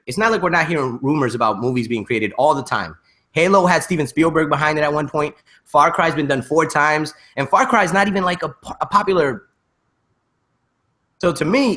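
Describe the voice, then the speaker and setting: A man hosting a casual panel discussion, opinionated and animated, checking in with rhetorical questions over a video call. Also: on the high side. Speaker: podcast host.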